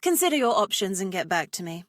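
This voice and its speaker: A wicked witch headmistress from a comedy game, acted by a woman, with a medium-pitched voice and theatrical, arch delivery. She is deliberate and teasingly sinister, recorded very dry and close. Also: very animated, no echo, close to an everyday voice. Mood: flirtatious, sly. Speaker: video game character voice. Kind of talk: scripted comedic game voice lines.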